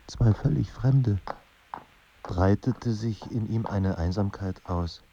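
Casting: somber radio-play narrator, introspective and measured, dark low voice. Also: male voice. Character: somber male literary narrator of a troubled writer's life. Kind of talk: scripted literary narration in a German radio play. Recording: dull sound with faint background noise.

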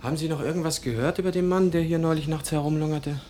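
An actor in a German radio play playing a polite, reserved, quietly uneasy writer in a measured conversational way. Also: male voice, a little high, fairly even intonation, a little hiss or hum, natural voice. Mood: polite, probing.

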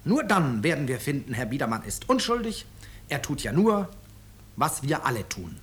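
Animated, ironic male author-narrator, slightly husky. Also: mid-range voice. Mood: suave, ironic. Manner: ironic, courteous, deliberate, emphatic. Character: urbane, ironic male author presenting his own story. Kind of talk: 1950s German radio drama narration.

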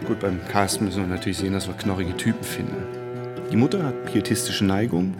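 In a long reverb with music clearly behind, an actor plays an eager male series pitchman. He is chatty and insistent, selling his idea in dramatic bursts like a stage monologue. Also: fairly even intonation, brisk, natural voice. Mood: eager, persuasive. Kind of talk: theatrical radio play monologue.

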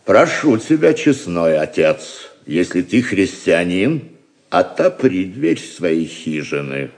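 An actor playing an insistent, forceful poor wanderer in an audio drama, declamatory in delivery. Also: male voice, low-pitched, big pitch swings, takes it slowly, creaky, exaggerated voice. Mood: pleading. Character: pleading poor wanderer turning impatient and forceful.

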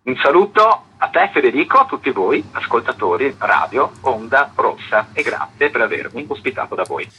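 Husky, animated man in an interview. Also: mid-range voice, normal speed, few pauses, highs cut off. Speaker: festival artistic director, interview guest. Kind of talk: explanatory radio interview.

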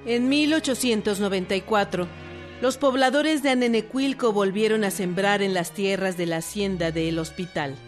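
A woman's voice: mid-range voice, normal speed. Mexican woman narrating over music. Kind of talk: scripted documentary narration.

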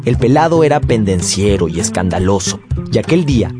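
A man's voice: lowish voice, lively intonation, close to an everyday voice. An actor as male storyteller over guitar music. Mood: storytelling. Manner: measured storytelling. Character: grown son nostalgically recounting his family's story. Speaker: narrator. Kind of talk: scripted literary radio narration. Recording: music under narration.

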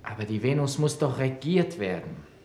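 An actor playing a pompous government minister in a 1960s radio drama, speaking in formal, long-winded sentences. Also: male voice, mid-range voice, sing-song, normal speed, a little mellow, a little hiss or hum, natural voice. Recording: echoey old radio drama.

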